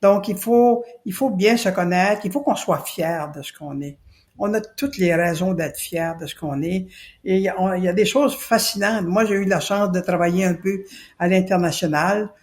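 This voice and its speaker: A woman's voice: lowish voice, normal speed, darkish tone. A woman from New Brunswick, speaking earnestly as a podcast guest and repeating her key points for emphasis. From Acadia, New Brunswick. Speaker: guest, citizen committee spokesperson. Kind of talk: podcast interview.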